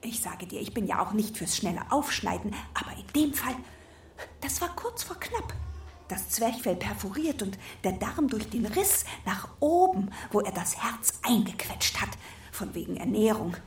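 A woman's voice: mid-range voice, very animated, moderate pace. An actress playing a chatty, emphatic woman gossiping in appalled tones in a radio play. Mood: appalled, gossipy. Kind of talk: naturalistic radio play dialogue. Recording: roomy, distant, faint noise.

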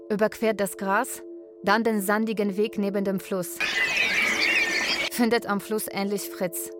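A woman's voice: medium pitch, lightly acted. A woman narrating a scripted radio drama in terse, short sentences. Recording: faint music behind the voice.

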